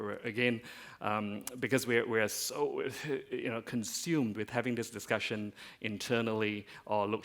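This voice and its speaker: Guy from malaysia on a panel, low gravelly voice, lots of uhs and ums. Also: fairly bright tone. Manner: hesitant, many fillers and restarts. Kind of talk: panel discussion remarks.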